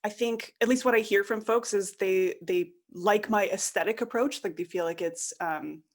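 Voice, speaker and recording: Woman, 30s, podcast guest; upbeat, conversational, animated quoting. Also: medium pitch, moderate pace. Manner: enthusiastic, laughs often, acts out quotes. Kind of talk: casual conversational interview.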